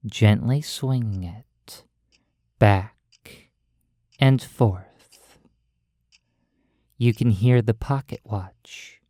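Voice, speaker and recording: A hypnotist guiding a sleep induction in a soothing, measured voice with deliberate pauses. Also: mid-range voice, mellow, no room sound. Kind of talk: slow hypnosis sleep induction, guided imagery. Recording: faint ticking clicks behind dry close voice. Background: ticking pocket watch.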